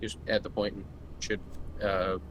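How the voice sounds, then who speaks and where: A man's voice: lowish voice, flat delivery, unhurried, low-quality recording. A man playing a tabletop game, bantering casually with a joking, loosely profane manner. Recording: faint background noise.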